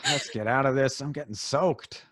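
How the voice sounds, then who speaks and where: An actor playing a nervous, grumbling accomplice in an old-time radio drama, stammering and complaining. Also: male voice, mid-range voice, expressive, normal speed, fairly bright tone. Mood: hushed grumbling.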